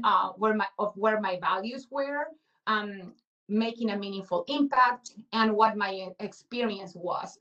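A woman's voice: mid-range voice, a bit bright, highs cut off. Reflective woman speaking from a distance. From Chile. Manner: reflective, many fillers and restarts. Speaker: guest speaker. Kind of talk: webinar presentation. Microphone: video call.

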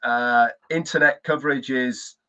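An older man, a guest on a video call, talking in a relaxed, hesitant way with many fillers. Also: mid-range voice, unhurried, smooth. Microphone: webcam on video call. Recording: dull lo-fi call audio.

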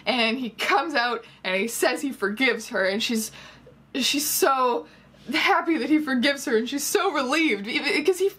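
A woman in her twenties giving sarcastic, animated commentary as a casual vlogger talking to camera. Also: high-pitched, measured pace, breathy edge. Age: 20s. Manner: sarcastic, animated storytelling with mocking quotes. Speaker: vlogger reviewing a book. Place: small bedroom, indoors.

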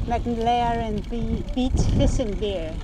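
A woman with a high voice talks slowly as she rides her bike, giving short remarks in simple, broken English. She is recorded on a handlebar action camera outdoors. Loud wind and passing traffic sit under her voice. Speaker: cycling vlogger. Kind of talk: casual running commentary while riding. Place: outdoors on a bike along roads and a fishing pier. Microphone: action camera mounted on handlebars.

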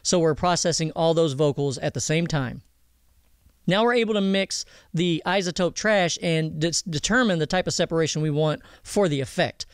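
A man explaining audio software step by step in an informal tutorial, often checking that the listener follows. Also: on the high side, moderate pace, smooth, no room sound, clean studio sound. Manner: explanatory, checks understanding with "okay?", "all right?". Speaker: audio mixing instructor.

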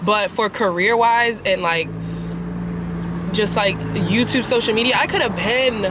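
A young woman vlogging from her car, chatty and animated, sounding nasal after a cold. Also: medium pitch, unhurried. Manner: chatty, animated, swears casually. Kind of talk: casual vlog chat. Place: parked car at a traffic light. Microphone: camera on the dashboard. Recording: car with street noise behind.

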